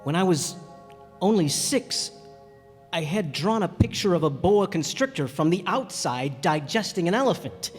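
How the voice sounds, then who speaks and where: A man playing a stranded pilot who recounts his desert encounter in measured, thoughtful radio drama narration. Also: high voice, expressive. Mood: wistful. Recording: echoey hall, music behind.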